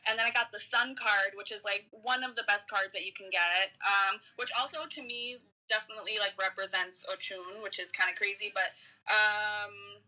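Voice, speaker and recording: A woman doing a casual spiritual card reading as a podcast guest, explaining in a halting, giggly, conversational way. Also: medium pitch, steady tone, pushed and bright, low-quality recording. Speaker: tarot reader, podcast guest.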